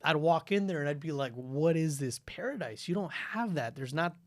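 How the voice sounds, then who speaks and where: A man's voice: on the high side, normal speed, dry recording, clean studio sound. A young man from Ecuador, a podcast guest telling stories casually with lots of "like" and "dude". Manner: animated storytelling, frequent "dude" and "like", many restarts.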